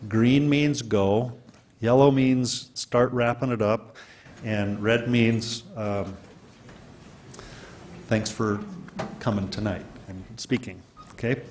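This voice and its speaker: A man chairing a city council meeting, reading procedure and calling speakers in a relaxed way with fillers. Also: medium pitch, slow pace, slight background noise.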